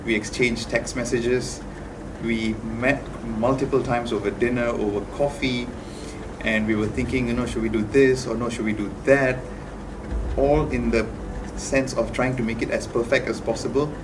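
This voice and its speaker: A young man speaking earnestly in an interview, measured and pausing with fillers as he reflects. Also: mid-range voice, fairly even intonation, background noise. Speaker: groom-to-be interviewee. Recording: distant mic with music underneath.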